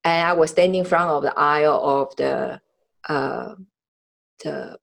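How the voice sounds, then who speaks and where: A woman from Taiwan hosting a podcast in a conversational, personal way, halting as she searches for words in non-native English. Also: deep voice, steady tone, creaky. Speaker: podcast host. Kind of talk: conversational interview, personal storytelling.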